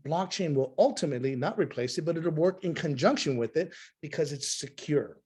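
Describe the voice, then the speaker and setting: A hoarse middle-aged man pitches over a video call, his voice pitched a little high for a man. He leans hard on repeated phrases and drives each point home like a seasoned salesman. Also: lively intonation, brisk, a little mellow. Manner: emphatic, repetitive sales delivery. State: hoarse from talking nonstop. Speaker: presenter.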